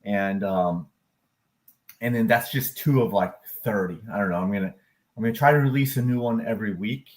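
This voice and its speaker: A man hosting a casual live stream about crypto and stock charts, talking in an upbeat, rambling way with many fillers and self-corrections, and now and then whooping loudly. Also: on the low side, fairly quick. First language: English. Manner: occasional loud whooping cheers.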